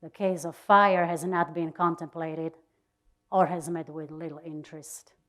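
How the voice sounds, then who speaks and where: Very slow, expressive woman reading dramatically. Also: sits low. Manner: deliberate, expressive, with pauses. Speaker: reader of a diary.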